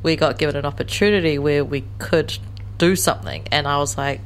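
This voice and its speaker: Low-voiced kiwi woman late 20s, laughy and chatty, lots of "like", faint hum behind. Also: brisk, low-quality recording. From New Zealand. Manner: animated, laughs often, many "like" fillers. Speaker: guest, co-creator of a series. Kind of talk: casual interview chat.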